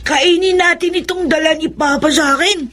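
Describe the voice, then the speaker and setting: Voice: extremely high pitch, steady tone, normal speed, pushed and bright, a little hiss or hum. A performer in a radio drama playing a sweet, eager, affectionate little boy. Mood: affectionate, insistent. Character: sweet, excitable little boy, the favoured younger son. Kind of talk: Tagalog radio drama acting.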